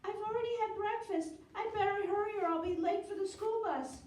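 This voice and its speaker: Female performer as polite little girl, radio drama. Extremely high voice, echoing room. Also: brisk, darkish tone. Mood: innocent, earnest. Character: innocent, polite little schoolgirl daughter. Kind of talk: old-time radio drama acting. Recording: echoey, distant microphone.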